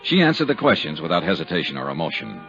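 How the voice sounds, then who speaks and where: An American actor playing a tough, dry-witted insurance investigator in a 1950s radio drama, clipped and sardonic. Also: male voice, low-pitched, sing-song, fast talker, vocal fry, over music, full character voice. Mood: wry narration. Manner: clipped, hard-boiled, sardonic. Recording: muffled 1950s radio recording.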